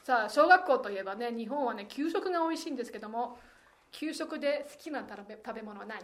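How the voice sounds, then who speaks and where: A woman hosting a casual radio show, chatty and animated, reacting with exclamations and laughter. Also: a little high, quick pace. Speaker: host. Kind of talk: casual interview and on-location chat for a web radio show. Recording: faint background noise, location ambience.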